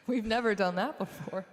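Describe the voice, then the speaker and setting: Singer-host, woman, low-ish voice, rambling casual chat, echoey home room. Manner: chatty, rambling with fillers. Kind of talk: casual chat between songs. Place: home studio set. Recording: echoey room with long reverb.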